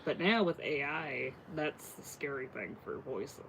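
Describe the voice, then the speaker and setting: A man's voice: mid-range voice, animated, a little slow, fairly bright tone, slight background noise, rough recording. A man talking casually as a co-host, blunt and given to casual swearing. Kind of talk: casual conversation.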